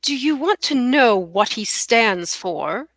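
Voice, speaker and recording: A woman's voice: fairly high pitch, expressive, slow pace, fairly bright tone, no room sound, studio quality, exaggerated voice. Woman playing a florid, swooping romantic poet. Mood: challenging. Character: florid, romantic male poet, idealistic and indignant. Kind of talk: cold table read of a stage play.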